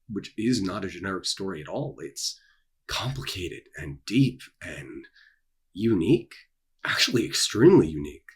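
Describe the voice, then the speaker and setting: A young man with a fairly low, husky voice talks in a casual vlog style. His delivery is theatrical, leaning on repeated words and dramatic pauses for emphasis. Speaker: author and video creator. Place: small dark room indoors.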